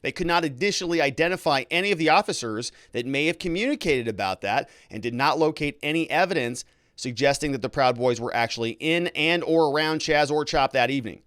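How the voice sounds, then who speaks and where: A man hosting legal commentary, reading from a report in an animated, emphatic way with informal asides. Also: on the high side, a little fast, no room sound, clean studio sound. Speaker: legal commentator and show host. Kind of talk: news commentary, reading a report aloud and paraphrasing it.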